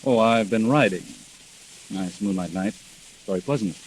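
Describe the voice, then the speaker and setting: An actor playing a cool, evasive husband with offhand, clipped phrasing in a 1940s radio mystery. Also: male voice, on the low side, moderate pace, slightly rough, low-quality recording. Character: cool, evasive husband, outwardly casual and solicitous. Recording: hissy vintage 1940s radio recording.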